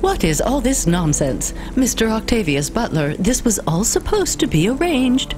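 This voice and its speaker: A woman's voice: fairly high pitch, very animated, moderate pace, music in the background. An actress playing a fussy, condescending upper-class lady in a video game, with an affected, pompous manner. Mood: indignant.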